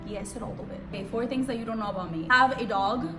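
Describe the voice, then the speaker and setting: A young woman born in Cuba and raised in Israel, whose first language is Hebrew, rambling fast and slangy through a casual vlog in a room set up for filming. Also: a little high, over music. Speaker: vlogger. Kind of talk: casual get-to-know-me vlog. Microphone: camera mic.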